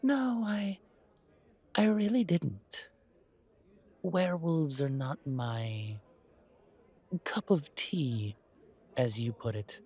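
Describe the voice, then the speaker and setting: Man playing a slow, breathy, courtly old vampire. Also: fairly high pitch, expressive, darkish tone, heavily acted. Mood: dry, defensive. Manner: measured, formal, politely dry. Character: courtly, wary centuries-old male vampire. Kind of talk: roleplay audio drama.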